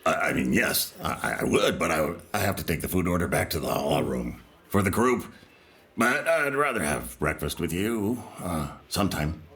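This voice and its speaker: A man's voice: sits low, expressive, normal speed, a bit bright. A man voicing a shy, flustered cyborg crewman who stammers nervously.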